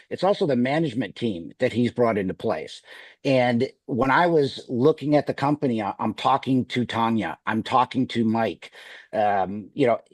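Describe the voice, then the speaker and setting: A man's voice: medium pitch, a little mellow, no echo. Middle-aged man with a Wisconsin accent, sales exec on a dry video call, rambling delivery. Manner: rambling, many restarts. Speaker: sales executive.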